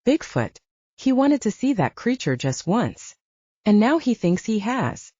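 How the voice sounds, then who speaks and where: A woman's voice: mid-range voice, very animated, a little slow, warm tone, husky, no echo, dull audio, voice pushed up. A woman voicing a spirited young female audiobook heroine, frank, teasing and persuasive. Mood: earnest. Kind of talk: audiobook character dialogue.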